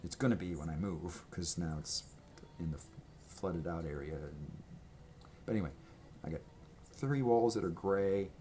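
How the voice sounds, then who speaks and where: A man with a lowish voice chats to a camera in his home, showing off items he owns. He wanders from point to point, restarts his sentences and fills the gaps with ums and you-knows. Faint room ambience sits under his voice. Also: unhurried, background noise. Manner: chatty, meandering, many fillers and restarts. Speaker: vlogger showing his merch. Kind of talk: rambling casual show-and-tell. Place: home room with gray walls and a red accent wall. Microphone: camera microphone, speaking to camera at a table.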